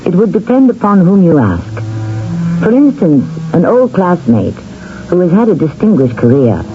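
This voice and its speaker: A man hosting and narrating a radio mystery drama in a deliberate, theatrical, wry manner. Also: high voice, big pitch swings, noisy background. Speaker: host and narrator. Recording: dull vintage radio broadcast, music underneath.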